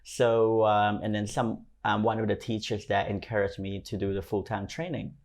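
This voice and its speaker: A man's voice: fairly low pitch, flat delivery, normal speed, gravelly, crowd noise. A man in his forties with a Thai accent, telling his own story conversationally as an interview guest. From Thailand. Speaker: podcast guest, fashion stylist and creative consultant.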